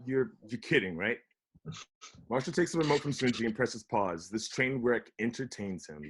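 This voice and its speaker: A man reading a comedy script, both as an incredulous young roommate and as the dry, wry reader of stage directions, with a husky voice. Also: mid-range voice, animated, moderate pace. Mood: disbelieving. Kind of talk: table read of comedy script, with narrated directions. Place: at home, remote table read.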